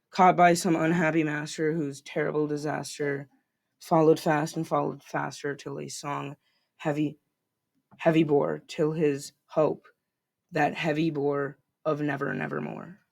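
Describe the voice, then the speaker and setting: A man's voice: on the high side, vocal fry. A man narrator reading a scripted story aloud.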